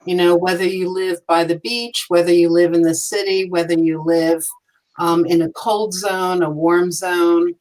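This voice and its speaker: A woman on a panel over a video call, answering in a meandering, hesitant way with frequent pauses. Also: fairly low pitch, fairly even intonation, a little mellow, low-quality recording. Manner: meandering, hesitant, many ums. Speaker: mosaic artist and panelist. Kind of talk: casual panel talk.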